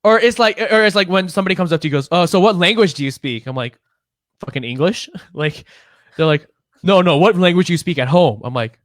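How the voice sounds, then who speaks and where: Young male podcast co-host, high pitched, swears freely, lively and quick to laugh, dry close mic. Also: no echo. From Illinois, United States. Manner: profane, animated, laughs often. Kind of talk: casual chat.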